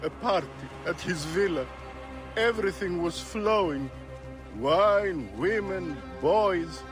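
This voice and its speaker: An actor playing a pompous, hungover Greek drunkard who groans and complains floridly in a video game. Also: male voice, sits high, slow pace, music in the background. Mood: drunken. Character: hungover, pompous, theatrical drunkard Greek man, grumbling wit.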